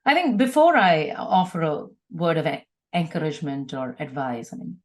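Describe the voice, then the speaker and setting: A woman's voice: sits low, animated, normal speed. A woman speaking as a podcast guest, earnest and emphatic, building encouragement through repeated phrases. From India. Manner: earnest, emphatic, with rhetorical repetition. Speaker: podcast guest, ministry leader. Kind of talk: conversational interview, encouraging testimony.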